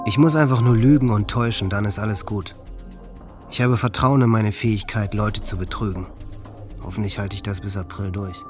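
A man's voice: fairly low pitch, a little fast, faint music behind, natural voice. An actor playing an angry, manipulative teenage boy in an intense, confiding radio drama monologue in German. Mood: scheming. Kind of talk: German radio docudrama acting, diary monologue.

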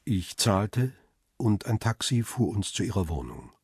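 A middle-aged crime-drama narrator with a very low, heavily husky voice. He is reflective and restrained, telling his own story as if thinking it over. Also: very animated, measured pace, darkish tone, no echo, clean studio sound, exaggerated voice. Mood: pensive. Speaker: narrator and lead character. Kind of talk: radio drama narration and dialogue.

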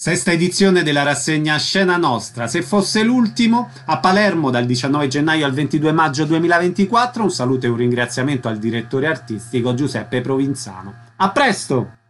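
A man's voice: fairly high pitch, lively intonation, brisk, hardly any pauses, fairly bright tone. A man hosting a radio interview, introducing guests and asking questions.

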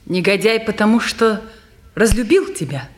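A woman playing a pensive, probing young woman in a 1950s Russian stage drama, with wistful, measured delivery. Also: mid-range voice, a little hiss or hum, close to an everyday voice. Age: young adult.

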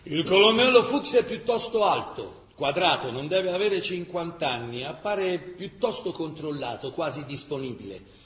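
A male actor playing a grave chronicler of a besieged wartime city in a radio drama, measured and emphatic with dramatic pauses. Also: on the high side, lively intonation, a bit bright, a little hiss or hum. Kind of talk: dramatic scripted documentary narration. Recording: roomy, echoing radio theatre sound.

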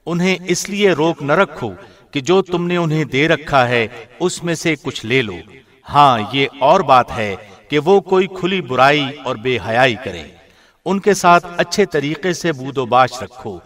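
Slightly high-voiced man, formal scripture reader. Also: expressive, fairly bright tone. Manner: measured, formal broadcast delivery. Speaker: translation reader, radio host.

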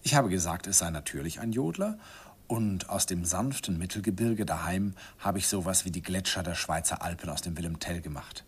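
A man's voice: low-pitched, animated, fairly quick, husky, exaggerated voice. An actor narrating a radio play as an older man recalling his youth with wistful, self-mocking irony. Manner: wistful, self-ironic reminiscing.

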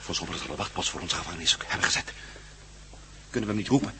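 A defiant adventure hero in a radio play, with quick, lively speech over clear background noise. He is practical and commanding, firing off questions. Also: low voice. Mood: hushed, tense. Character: defiant, practical male leader of captive adventurers. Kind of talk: radio drama acting.

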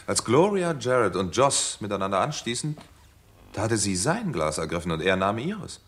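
A determined doctor playing detective speaks in a low male voice. He is earnest and emphatic, with a readiness to confront, in the manner of a sixties German radio thriller. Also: very animated, moderate pace, fairly bright tone, slightly rough, heavily acted. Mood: earnest, insistent. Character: American doctor, indignant, determined amateur sleuth and narrator. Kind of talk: 1960s German radio crime drama acting.

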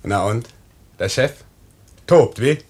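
A man's voice: medium pitch, slow, a little mellow, breathy edge. A man playing a cheerful, teasing pilot in a 1950s German radio play, speaking in a breezy, colloquial way. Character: cheerful, teasing night-mail pilot, tender with his young wife.

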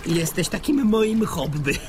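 A man's voice: high-pitched, very animated, measured pace, background noise. A man acting in a radio play as a dogged police officer, smug, sardonic and stern, taunting with emphatic delivery.

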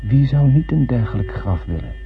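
An actor playing an astronaut who narrates a solemn space tale in poetic, measured radio play style. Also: male voice, medium pitch, lively intonation, faint music behind, lightly acted. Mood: reflective. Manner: poetic, measured literary storytelling. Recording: muffled phone-like old radio recording, noisy.

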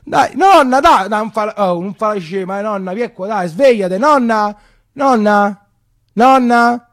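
An actor voicing a young Roman boy crying out to his grandmother, high, urgent and repetitive, with a Roman accent. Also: unhurried, a bit bright. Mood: pleading, distraught.

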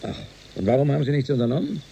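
An actor in a German radio drama playing a gruff, impatient spaceship captain, brusque and commanding. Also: male voice, mid-range voice, rapid-fire, dark tone, slight background noise, close to an everyday voice.

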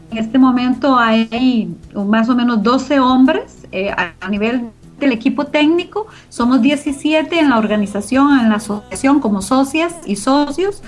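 A middle-aged woman, giving reflective, explanatory answers as an interview guest. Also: on the high side. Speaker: interview guest, organization founder.

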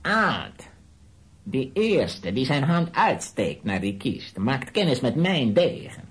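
An actor playing a proud, menacing Italian nobleman villain in a children's audio drama, speaking in a deliberate, theatrical, threatening way. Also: mid-range voice, big pitch swings, husky, heavily acted. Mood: haughty, threatening.

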